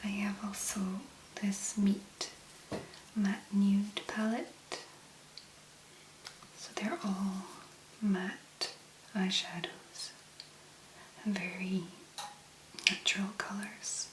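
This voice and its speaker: Female ASMR host, mid-pitched, hushed whisper and gentle delivery, unhurried, roomy recording with noise behind. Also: flat delivery. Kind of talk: soft-spoken whispered ASMR. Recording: roomy echo, clear background noise.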